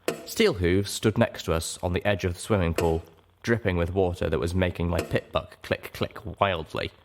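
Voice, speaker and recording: A man reading fiction aloud as a dramatic first-person narrator, measured and expressive. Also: sits low, slight background noise. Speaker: audiobook narrator.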